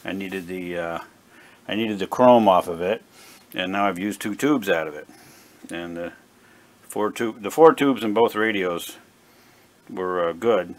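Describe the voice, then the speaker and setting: A man giving a casual, unscripted narration at a workbench, plain-spoken and halting as he thinks aloud like a hobbyist repair vlogger. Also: low voice. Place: indoor home workbench. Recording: clear background noise, workshop ambience.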